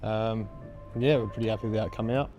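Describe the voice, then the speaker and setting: Raspy laid-back Australian young man. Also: lowish voice, steady tone, quick pace, mellow, faint music behind. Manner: laid-back, hesitant, lots of ums. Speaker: band frontman. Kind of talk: casual interview answers.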